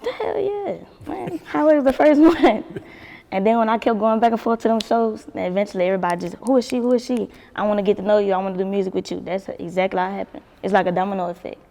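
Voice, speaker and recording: Gravelly-voiced young woman. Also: medium pitch, fast talker, mellow, long reverb tail. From Baton Rouge, Louisiana. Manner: laid-back, swears freely, laughs often. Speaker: singer, interview guest. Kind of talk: casual interview chat.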